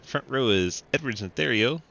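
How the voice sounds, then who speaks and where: A man's voice: mid-range voice, animated, moderate pace, creaky, pushed and bright, lo-fi sound. A man calling a sim race with playful banter, stuffy with a cold and laughing often. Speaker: sim racing commentator. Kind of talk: live race commentary and banter.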